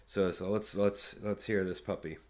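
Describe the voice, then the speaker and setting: Low-voiced man giving casual music commentary. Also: steady tone, normal speed, a little mellow. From Kansas City area. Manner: rambling, many fillers and restarts. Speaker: YouTube reaction host, musician.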